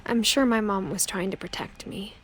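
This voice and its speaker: A woman playing a thoughtful young woman, narrating a game in a quiet, understated, reflective voice-over. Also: medium pitch, moderate pace, a little hiss or hum, lightly acted. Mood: pensive, hurt. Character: thoughtful young woman uncovering her family's secrets.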